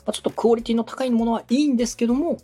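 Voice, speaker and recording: A man's voice: extremely high pitch, fairly even intonation, racing, dark tone, breathy edge. A man from Osaka speaking as a podcast host, giving polite, organized step-by-step advice in a conversational way. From Osaka, Japan. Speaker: podcast host and radio director advising a beginner.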